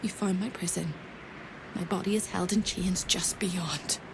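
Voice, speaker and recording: An actress playing a manic, long-tortured prisoner in a video game, babbling with theatrical, brittle cheer. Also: female voice, on the low side, steady tone, unhurried, vocal fry, noisy background. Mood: urgent, excitable. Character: manic, chirpy, long-tortured female prisoner clinging to optimism. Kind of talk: video game character acting. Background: background music.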